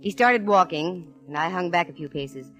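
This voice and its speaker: An American actress playing a sharp, plucky female private eye in a 1940s radio detective drama. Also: fairly low pitch, expressive, moderate pace, close to an everyday voice, rough recording. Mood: cool, confident. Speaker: lead actress and narrator. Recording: vintage radio broadcast with music.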